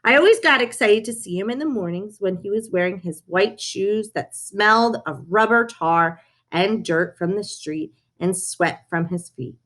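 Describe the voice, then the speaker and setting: Lady reading a kids book out loud, telling it as the dog, medium pitch voice. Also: normal speed. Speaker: reader of a children's book. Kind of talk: storybook read-aloud in first person as a dog.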